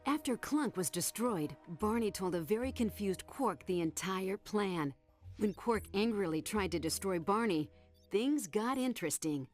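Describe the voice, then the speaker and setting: A woman voicing a composed, flirtatious intelligence agent in a video game, crisp and businesslike with coy teasing. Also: medium pitch, animated, measured pace, darkish tone, faint music behind, lightly acted. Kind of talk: scripted video game cutscene acting, report delivery.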